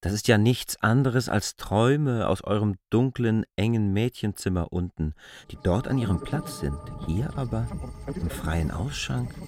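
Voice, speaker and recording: An actor as a composed, low-voiced sceptical man. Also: measured pace, a little mellow, no echo, natural voice. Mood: dismissive. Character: K, a sceptical, composed man dismissing a young woman's fantasies. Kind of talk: German radio play acting.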